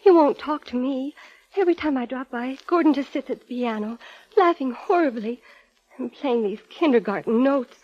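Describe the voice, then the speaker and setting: An actress playing an anxious, halting, earnest woman seeking help for her brother in a 1950s radio drama. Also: high-pitched. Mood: anxious, pleading. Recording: dull vintage radio recording.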